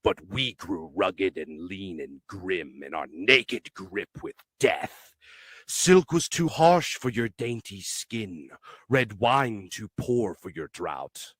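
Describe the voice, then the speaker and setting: A man recites verse with solemn, declamatory weight. His tone is bright and forceful, and he moves slowly through each measured phrase. The sound is dry, with very little room on it. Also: low-pitched, big pitch swings, no echo. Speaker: poetry reader. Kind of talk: dramatic poetry recitation.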